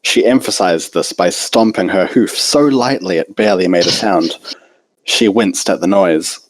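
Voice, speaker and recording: A man narrates a story in a low voice, reading from a script. The recording is close and dry, with very little room sound. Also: normal speed, dry recording, rough recording. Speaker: fan fiction reader.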